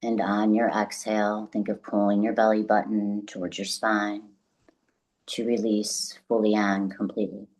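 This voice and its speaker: A woman with a notably deep, low voice guides a meditation. She speaks gently and deliberately, leaving long pauses between phrases. Her delivery is soothing, calm instruction. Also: poor audio.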